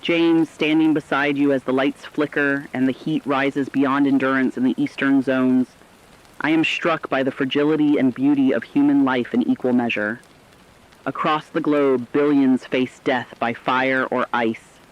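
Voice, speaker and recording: Man acting as a solemn compassionate broadcaster, medium pitch, elegiac, earnest, end-of-the-world radio drama, dull recording. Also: fairly even intonation, measured pace, lightly acted. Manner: earnest, elegiac, carefully phrased delivery. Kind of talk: scripted apocalyptic radio drama monologue. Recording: dull, muffled audio.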